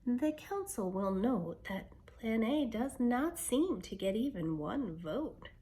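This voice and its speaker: A woman voicing a hesitant storybook town mayor who speaks in a halting, official manner. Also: fairly high pitch, expressive, warm tone. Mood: reluctant, formal.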